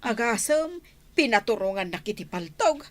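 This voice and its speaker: A woman's voice: fairly high pitch, big pitch swings, moderate pace. A woman playing a frightened, grovelling housemaid who stammers and whines in a radio drama. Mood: fearful, wailing. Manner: stammering, whining. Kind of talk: melodramatic radio drama acting.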